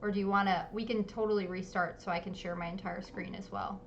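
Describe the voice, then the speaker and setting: A woman's voice: mid-range voice, steady tone, fast talker, background noise. Woman, stream host, casual chat, filler-heavy, muffled lo-fi sound. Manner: chatty, many ums, apologetic. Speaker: livestream interview host. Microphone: home streaming setup.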